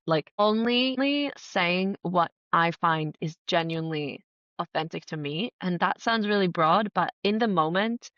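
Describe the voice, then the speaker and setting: Earnest low-voiced woman from Greece. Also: no echo, highs cut off. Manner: earnest, enthusiastic, frequent emphatic "like" and "really". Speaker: podcast guest, writer and entrepreneur. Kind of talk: casual conversational interview answers.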